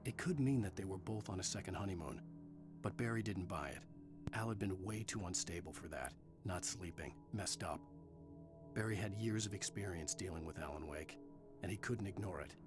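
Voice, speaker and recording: A man's voice: low voice, moderate pace, natural voice. An actor playing a brooding male writer who narrates a thriller manuscript in a video game. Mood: grave, ominous. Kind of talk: scripted story narration. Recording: game audio with music. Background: game music.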